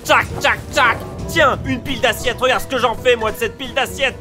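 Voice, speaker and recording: A man's voice: very high-pitched, fairly quick, over music. A man playing a hot-tempered, grumbling museum visitor in a comic audio drama, ranting with sarcasm and overdramatic exasperation. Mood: furious.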